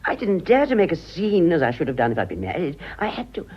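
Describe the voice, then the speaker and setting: A husky older British woman in a radio drama, vain and self-pitying. Her low voice swoops widely as she confides with theatrical pauses, on a slightly dull recording. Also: huge pitch swings, exaggerated voice. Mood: confiding, pained. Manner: dramatic, confiding, halting for effect.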